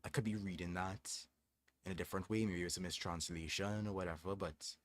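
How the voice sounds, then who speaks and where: A man's voice: deep voice, fairly bright tone. A young man hosting a livestream, reading from a book aloud and chatting in a thoughtful, hesitant way with frequent restarts. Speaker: livestream host.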